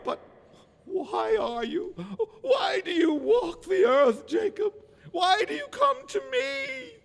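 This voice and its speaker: Crotchety old male miser, very high and wildly swooping, raspy, stammering, fearful, radio drama, long reverb. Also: very animated, slow pace, full character voice. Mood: terrified pleading. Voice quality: gravelly, raspy. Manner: quavering, stammering, theatrical exclamations.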